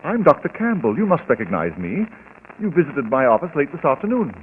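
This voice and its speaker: An actor playing a grave, authoritative doctor who speaks formally and insistently in a 1940s radio mystery. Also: male voice, a little high, wildly swooping pitch, a little fast. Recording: tinny, very noisy old radio broadcast.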